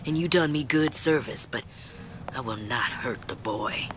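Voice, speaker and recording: An actress playing a principled, vengeful rebel leader in a video game, speaking with solemn, formal resolve. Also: female voice, a bass voice, unhurried, background noise. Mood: grave, resolute.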